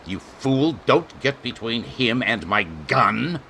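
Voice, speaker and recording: A man acting a stern major at the head of a posse in a radio western. His voice is forceful and animated, and he gives orders with military authority. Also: mid-range voice, slow pace, creaky, slight background noise, full character voice.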